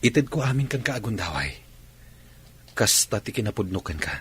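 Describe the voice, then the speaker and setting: An actor as an ardent young Ilocano suitor in a radio play. He is earnest and respectful, with a fairly low, husky voice. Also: animated, normal speed, a bit bright, exaggerated voice. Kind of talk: radio drama acting.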